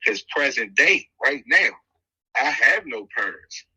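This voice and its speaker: A man's voice: mid-range voice, very animated, a little slow, bright, forceful tone, husky, dull audio. A middle-aged American man telling a personal story on a podcast in casual, slangy street talk, emphatic and acting out the dialogue as he goes.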